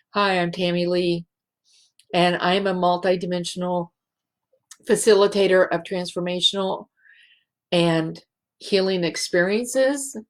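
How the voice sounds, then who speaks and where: A woman hosting a spiritual show, speaking in a halting, emotional way and close to tears. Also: on the low side. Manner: slow, halting and emotional, many restarts. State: teary. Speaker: show host, healing facilitator. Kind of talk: heartfelt spiritual show monologue.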